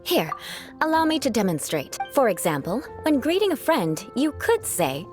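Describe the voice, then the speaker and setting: Proud young noble lady in a game, haughty n formal, pitch moves around a lot, bit of music behind. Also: very animated. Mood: prim, instructive. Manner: haughty, formal, measured, arch. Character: proud young aristocratic woman, haughty, vengeful yet composed. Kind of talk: video game character dialogue.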